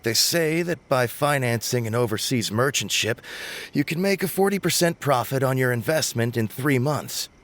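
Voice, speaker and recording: A man's voice: mid-range voice, lively intonation, normal speed, fairly bright tone, a little hiss or hum, natural voice. A man playing an earnest, devout and uncertain shrine visitor in a video game, musing politely and hesitantly. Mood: unsure.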